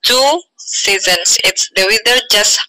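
A woman's voice: medium pitch, slow, pushed and bright, dry recording, low-quality recording. A woman from Indonesia with an Indonesian accent, chatting on a video call in hesitant learner English with fillers. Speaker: guest. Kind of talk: casual video call chat.

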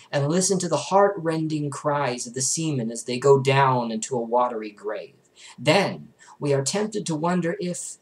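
Young guy reading a religious book out loud in a bedroom, mid pitched voice, kinda formal and deliberate. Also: rough recording. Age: young adult. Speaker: book reader. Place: small bedroom, indoors.

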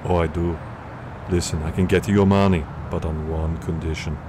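Action-hero cop played by a man: very low voice, unflappable wisecracker, radio play over noisy street sound. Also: moderate pace, lightly acted. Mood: nonchalant. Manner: deadpan, nonchalant. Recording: noisy city and traffic background.

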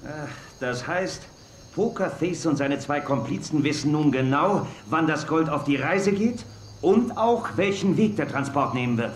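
A man's voice: a little high, lively intonation, a little slow. An actor playing a practical, observant and level-headed Western lawman in an audio drama. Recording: roomy, with faint noise.

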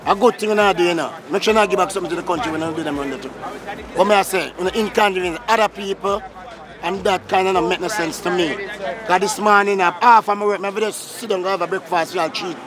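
A Jamaican taxi driver with a Patois accent, complaining emphatically in a street interview. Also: male voice, high-pitched, fast talker, a crowd in the background. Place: busy street outdoors.

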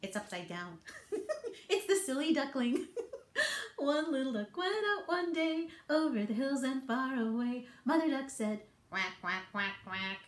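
A woman's voice: sits high, big pitch swings, measured pace. A middle-aged woman leading a toddler storytime with read-alouds, songs and rhymes in a playful, encouraging, singsong way. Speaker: children's storytime librarian host. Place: small book-lined office. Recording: roomy indoor echo.

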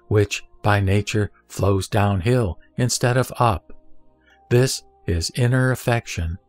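A man voicing the Buddha as a serene teacher, reciting scripture in a measured, formal, solemn way for an audio drama. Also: medium pitch, dry recording, lightly acted. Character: the Buddha, serene male teacher instructing a disciple.

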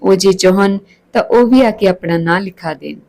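A woman's voice: medium pitch, moderate pace, darkish tone. A woman narrating a scripted story as a storyteller.

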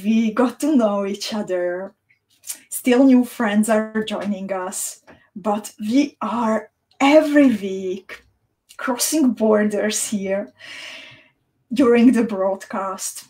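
A woman in her thirties from Slovakia with a Central European accent, chatting casually and cheerfully as a livestream host, halting with small repeats. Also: medium pitch, warm tone, low-quality recording. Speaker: beading teacher and livestream host. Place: small indoor home studio room.

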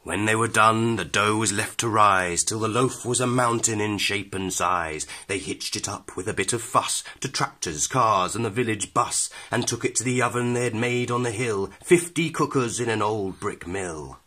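A man with a fairly low but sharp, forceful voice narrates a children's storybook. His reading is expressive and rhythmic, with dramatic weight on the rhymes. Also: normal speed, few pauses. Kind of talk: expressive scripted read-aloud of rhyming verse.

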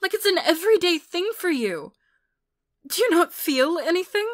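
A woman's voice: very high voice, very animated, normal speed. A woman playing a bitter, protective mother in a dramatic audio roleplay, with a confrontational, intense manner. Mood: angry, accusing.

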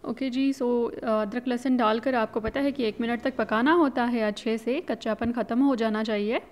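A woman talks viewers through a home recipe in a chatty, instructive voice-over, often slipping English words into her speech. Her voice sits a little on the higher side. She sounds like a relaxed host of her own cooking channel. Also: moderate pace, muffled recording.

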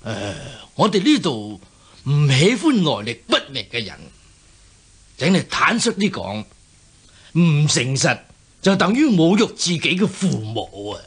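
A man's voice: on the high side, sing-song, measured pace, breathy edge, heavily acted. An actor with a Hong Kong Cantonese accent playing a cunning warrior king in a radio drama, imperious and theatrical. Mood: falsely genial, probing. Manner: imperious, theatrical, falsely genial. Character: cunning, scheming usurper king, a fierce warrior.

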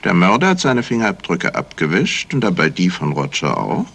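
An actor playing a courteous, self-assured gentleman sleuth in a 1970s radio crime drama, polite and soothing. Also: low voice, huge pitch swings, normal speed, vocal fry, exaggerated voice. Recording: vintage radio recording, faint hiss.

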